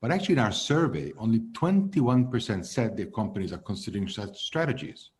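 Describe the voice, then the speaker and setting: A man in his fifties, born in Hungary, answering interview questions as a logistics executive in a measured way that trails off at the ends of phrases. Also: on the low side, sing-song, a little mellow.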